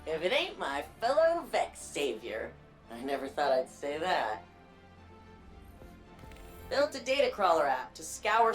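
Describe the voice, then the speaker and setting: An actress voicing a spirited, nerdy young woman archivist in a video game, quick and chatty. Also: medium pitch, lightly acted. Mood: playful. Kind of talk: video game dialogue and narration.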